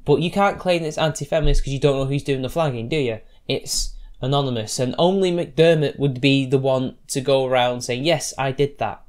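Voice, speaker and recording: A man's voice: mid-range voice, a little fast, hardly any pauses, darkish tone. A man giving combative, sarcastic reaction commentary, talking loosely with restarts as he answers posts. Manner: loose, rambling delivery with restarts. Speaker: YouTube commentator.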